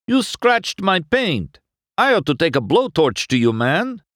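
A man voicing a flashy, hot-headed lowrider car in an audiobook, confrontational and threatening. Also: big pitch swings, a little slow, a bit bright, no echo, studio quality, full character voice. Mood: angry.

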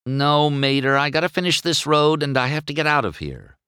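A man voicing a cocky, excitable young male race car in a children's storybook, with sputtering, indignant protests. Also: fairly high pitch, brisk, clear, resonant tone, no echo, clean studio sound, voice pushed up. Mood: anxious.

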